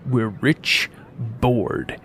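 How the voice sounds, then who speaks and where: A man's voice: medium pitch, fairly even intonation, extremely slow, a bit bright. A man playing a snobbish, bored teenage bully in an audio drama, blunt and dismissive. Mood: mocking, bored. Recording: clear background noise, outdoor ambience.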